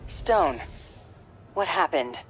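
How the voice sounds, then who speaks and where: A woman's voice: sits low, animated, very slow, slight background noise, heavily acted, rough recording. A woman playing a confident covert-ops soldier in a video game, with a clipped, tough, self-assured delivery. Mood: firm, urgent. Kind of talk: video game cutscene acting.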